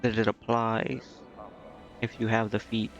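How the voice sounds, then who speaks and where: A man's voice: on the low side, level tone, a little slow, dull audio, soft background music. A man streaming a video game, thinking aloud in a casual, hesitant way as he mutters to himself.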